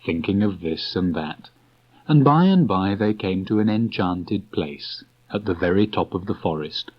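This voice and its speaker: A man's voice: deep voice, animated, a little slow. A man narrating a children's story from a script as an audiobook reader. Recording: dull restored cassette tape.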